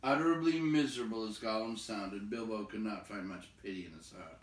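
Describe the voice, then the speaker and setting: Low-voiced man reading a story, echoey room. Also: a little fast. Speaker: storybook reader. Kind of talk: expressive scripted narration. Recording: echoey room, distant from mic.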